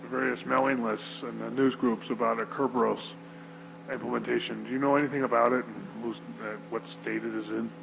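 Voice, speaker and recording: A man's voice: mid-range voice, steady tone, brisk, gravelly, noisy background. A man in the audience asking a technical question, hesitant and full of fillers.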